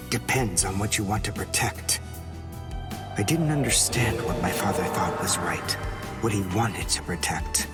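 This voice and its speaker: An actor playing an earnest, determined young male warrior in an anime game, speaking in a solemn, measured, intense way. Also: fairly low pitch, natural voice. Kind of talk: English-dubbed anime game acting.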